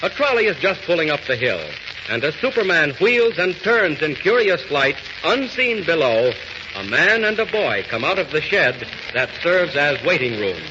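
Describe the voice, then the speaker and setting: A man's voice: a little high, sing-song, moderate pace. A man narrating a radio serial in a dramatic, declamatory 1940s style. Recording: old muffled radio recording, clear noise.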